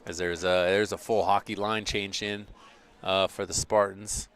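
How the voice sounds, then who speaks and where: A man's voice: low voice, little pitch movement, a little slow, a bit bright, slight background noise. A man giving casual, rambling commentary on a school soccer match, restarting sentences as he talks. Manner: rambling, self-correcting, chatty. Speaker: play-by-play commentator.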